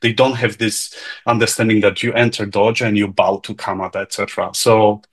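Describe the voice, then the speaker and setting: A man's voice: on the low side, flat delivery, brisk. A man from Poland with a Polish accent, speaking up in a discussion in a chatty way, with some stammered restarts. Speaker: participant. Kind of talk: casual discussion.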